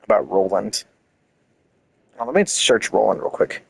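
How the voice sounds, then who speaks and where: A man's voice: on the low side, expressive, unhurried, vocal fry, a little hiss or hum. Enthusiastic man reading game lore. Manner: enthusiastic, swears casually. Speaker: gaming content creator. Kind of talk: casual game commentary, reading lore aloud.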